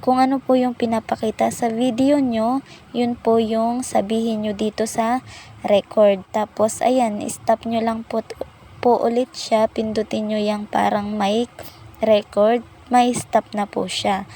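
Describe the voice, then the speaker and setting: A woman narrates an app tutorial, guiding viewers patiently and politely through each step. Her voice is soft and mellow, and her delivery is slow and even, with little rise or fall in pitch. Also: a little hiss or hum, rough recording. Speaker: tutorial channel host.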